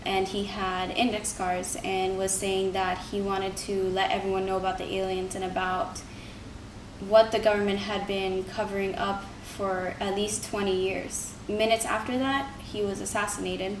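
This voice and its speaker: A woman's voice: mid-range voice, level tone, normal speed. A young woman giving an earnest, informal persuasive speech, like a student presenting to a class. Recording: roomy, distant mic, noisy background.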